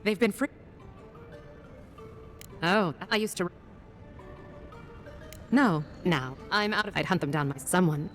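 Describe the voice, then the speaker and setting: A woman's voice: fairly low pitch, takes it slowly, long pauses, a bit bright, soft background music. An actress playing a wry, teasing townswoman in a video game.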